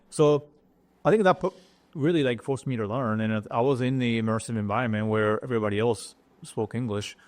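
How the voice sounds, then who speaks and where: A middle-aged man from Japan with a Japanese accent, a chef talking as a guest in an interview, pausing often and restarting his sentences. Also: mid-range voice, no echo. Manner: halting, many fillers and restarts. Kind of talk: casual interview talk.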